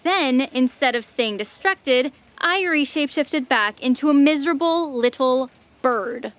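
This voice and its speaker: Woman as a high-voiced, sullen little bird. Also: animated, unhurried, a bit bright, slight background noise. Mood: dramatic, bitter. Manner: sullen, dramatic, taunting. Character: miserable, defiant little shapeshifting bird longing for destruction. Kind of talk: scripted comic audio drama.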